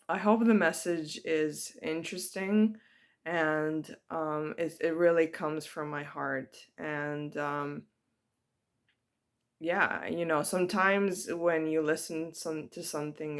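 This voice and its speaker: Slow, hesitant woman sharing reflections. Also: sits low. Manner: slow, hesitant, many ums. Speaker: spiritual vlogger. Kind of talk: personal spiritual reflection.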